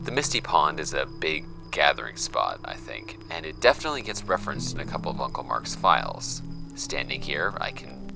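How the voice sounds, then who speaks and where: A man playing an uneasy amateur investigator in an audio drama, with a gravelly voice and a hesitant, informal manner. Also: extremely low pitch, heavily acted, rough recording. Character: uneasy amateur male investigator recording his case notes. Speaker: investigator and interviewer. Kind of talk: naturalistic audio drama acting.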